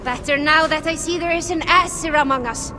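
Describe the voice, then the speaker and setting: Casting: woman as earnest dwarf villager, high voice, urgent and pleading with a sharp tongue, noisy game scene. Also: fairly quick, close to an everyday voice. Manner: pleading, emphatic. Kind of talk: video game cutscene acting. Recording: clear background noise.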